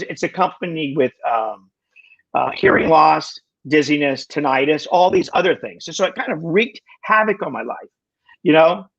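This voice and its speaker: A man of about sixty, a guest in a casual conversation, talking in halting bursts with lots of restarts and wry self-deprecating jokes. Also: medium pitch, fairly bright tone, highs cut off. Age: 60s. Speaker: podcast guest.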